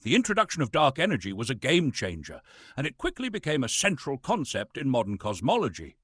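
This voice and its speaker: Man playing pompous thespian, wildly swooping pitch. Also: wildly swooping pitch, brisk, a bit bright, breathy edge, no room sound, studio quality, full character voice. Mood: grandly explanatory. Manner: dramatic, pompous, emphatic delivery. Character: pompous, disgruntled male Shakespearean actor reading physics lectures. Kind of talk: theatrical scripted science narration with comic asides.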